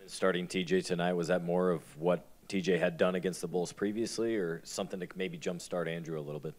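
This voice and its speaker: A man, a reporter, asking brisk, matter-of-fact questions at a press conference. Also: low-pitched, steady tone, a little mellow.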